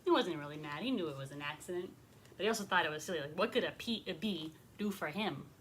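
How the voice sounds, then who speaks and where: A woman's voice: fairly low pitch, animated, fast talker, a bit bright, slight background noise. A woman leading a children's story time, narrating expressively and chatting with young listeners in simple words. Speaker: rabbi telling stories to children.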